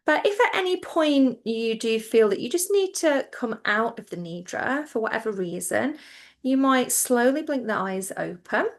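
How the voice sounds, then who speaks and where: A woman teaching yoga nidra, guiding listeners into relaxation in a gentle, reassuring, informal way. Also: fairly high pitch, lively intonation, normal speed. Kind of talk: guided relaxation instruction.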